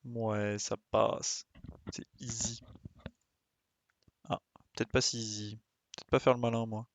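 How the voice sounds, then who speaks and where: A man's voice: on the low side, flat delivery, unhurried. A man giving casual live game commentary, muttering his reactions to himself. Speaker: game streamer.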